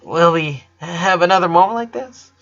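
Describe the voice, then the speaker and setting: A man voicing a distressed, clingy tomboyish teenage big sister in a story reading, halting and pleading. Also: sits high, fairly bright tone, lo-fi sound, pitched above the performer's own voice. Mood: desperate, tearful.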